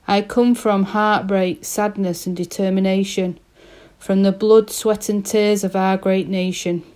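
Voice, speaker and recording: Slow, mellow woman reading poetry. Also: mid-range voice, clean tone. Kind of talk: poetry recital.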